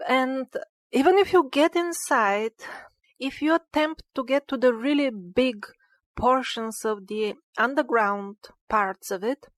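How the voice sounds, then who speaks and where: A woman guest explaining her research in an interview, speaking in a deliberate, halting and emphatic way. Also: a little high, no echo.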